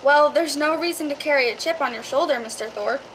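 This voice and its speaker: Radio drama property seller played by a woman: bright, high, chatty, persuasive, folksy. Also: a little fast, a little hiss or hum, poor audio. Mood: eager, reassuring. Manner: eager, reassuring, folksy salesmanship.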